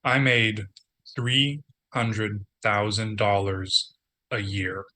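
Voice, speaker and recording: A forceful, bright-edged voice for an actor playing a man down on his luck. He is sardonic and wry, speaking in halting deadpan in a naturalistic table read. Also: fairly low pitch, a little hiss or hum, full character voice. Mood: dejected, deadpan.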